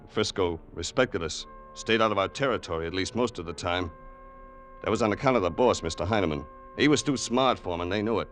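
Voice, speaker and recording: American: loyal hoodlum sidekick, low voice with big swings, slangy and rueful, radio crime drama. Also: male voice, fairly bright tone. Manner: slangy, ungrammatical tough-guy talk. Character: loyal, remorseful racketeer's henchman, plain-spoken tough guy. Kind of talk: old-time radio drama acting and narration.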